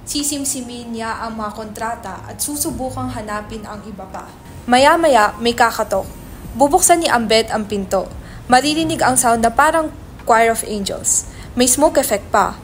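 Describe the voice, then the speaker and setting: A woman narrates stage directions for a dramatic reading. Her voice sits fairly high, in a scripted narrating style, with faint noise behind it.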